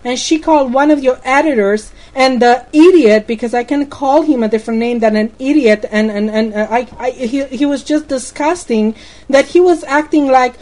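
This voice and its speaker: Romanian lady venting on the phone, high voice, rambly and fired up. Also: normal speed, a little mellow. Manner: indignant, rambling, repeats words. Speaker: customer on a phone call. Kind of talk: heated phone complaint.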